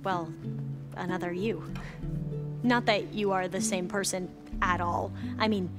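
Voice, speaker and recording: An actress playing an excitable young woman scholar in a video game, earnest and gushing, rambling as she goes. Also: on the low side. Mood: friendly, slightly awkward. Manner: gushing, halting and self-correcting when flustered. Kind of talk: video game dialogue acting. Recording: loud music behind the voice. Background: music.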